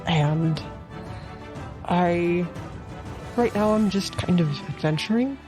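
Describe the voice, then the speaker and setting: A woman's voice: lowish voice, takes it slowly, over music. A woman playing a polite, hesitant scholar and musician turned adventurer in a tabletop game, courteous and easily amazed.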